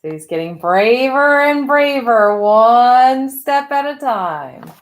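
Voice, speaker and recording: A woman reading a picture book aloud to children, expressively and with dramatic pauses, adding explanatory asides in a teacher's manner. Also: on the high side, lively intonation.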